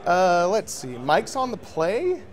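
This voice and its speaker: A man with a slightly high, strained and scratchy voice giving casual color commentary. He breaks down matchups in an analytical, matter-of-fact way. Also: sing-song, takes it slowly. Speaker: tournament commentator. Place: card shop event.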